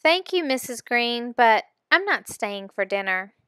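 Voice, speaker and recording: A woman acts out an anxious young boy in a storybook. The voice is bright and a little high, hesitant and halting, reluctant to get the words out. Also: big pitch swings, smooth, no echo, studio quality. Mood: polite, grave.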